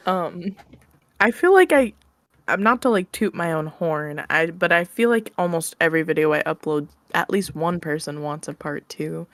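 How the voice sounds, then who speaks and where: A woman speaks as a guest in a casual interview. Her voice sits a little low and is recorded dry and close. She is informal and modest about herself, often softening what she says. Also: no room sound. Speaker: podcast guest, ASMR roleplay creator. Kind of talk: casual chat, answering interview questions.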